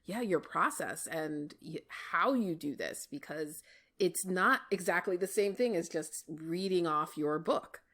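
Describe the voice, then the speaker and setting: Woman, slightly low voice, podcast co-host, casual chat. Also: animated, unhurried, a bit bright.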